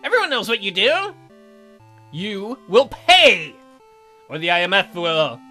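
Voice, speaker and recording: Woman playing a righteous, forceful young vigilante. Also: medium pitch, very animated, measured pace, soft background music, pitched below the performer's own voice, heavily acted. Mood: accusing. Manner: righteous, bossy, preachy. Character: zealous angry young female vigilante kidnapper.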